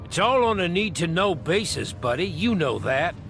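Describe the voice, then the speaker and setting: A folksy American spymaster in a video game. He is high-pitched, breezy and jovially patriotic, with music and gunfire behind him. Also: sing-song, moderate pace, gravelly, exaggerated voice. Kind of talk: video game cutscene acting.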